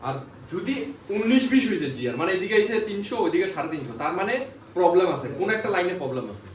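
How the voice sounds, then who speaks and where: Male repair instructor, high voice, emphatic question-and-repeat teaching, distant echoey classroom sound. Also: very animated, quick pace. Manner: repetitive, emphatic teaching with frequent rhetorical questions. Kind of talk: classroom lesson, explaining with check questions. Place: indoor classroom. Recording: echoey room, distant mic, faint background noise.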